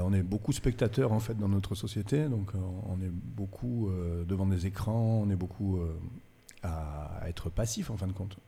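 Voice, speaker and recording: A man's voice: lowish voice, dark tone, in a large hall. A man from France, a musician guest on a live radio show at a cultural centre, telling his story thoughtfully and searching for words. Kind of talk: reflective interview storytelling.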